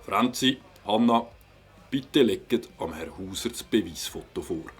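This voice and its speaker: An actor playing a firm, methodical police captain in a children's audio drama, speaking formally with a Swiss German accent. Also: male voice, on the low side, lively intonation, unhurried, slightly rough, soft background music. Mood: stern. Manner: formal, authoritative.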